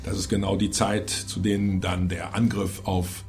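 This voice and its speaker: A man's voice: low-pitched, flat delivery, normal speed, a little mellow, faint music behind. A man hosting a film review show, talking conversationally with opinionated asides. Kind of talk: casual film review commentary.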